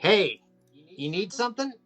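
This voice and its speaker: Actor as leering older club boss, higher male voice, patronizing, commanding, film table read, faint music. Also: very animated, unhurried, fairly bright tone, exaggerated voice. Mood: gruffly casual. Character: leering, bossy older men's-club member.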